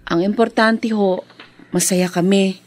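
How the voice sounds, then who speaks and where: A woman's voice: mid-range voice, steady tone, measured pace, close to an everyday voice. An actress playing an evasive, sweet-talking young woman in a Filipino radio drama, with a Filipino accent. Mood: defensive, evasive.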